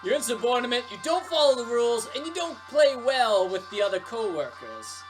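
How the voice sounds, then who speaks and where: A woman's voice: fairly high pitch, sing-song, a little fast, soft background music. A woman voicing a domineering, scolding male pizza store manager in a story. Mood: stern, accusing. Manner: domineering, accusing.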